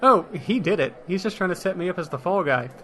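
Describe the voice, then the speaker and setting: Man playing a matter-of-fact fire mage, higher-voiced. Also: expressive, quick pace, bright, forceful tone, a little hiss or hum. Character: matter-of-fact male fire mage turned amateur detective. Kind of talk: comedic fantasy audio drama.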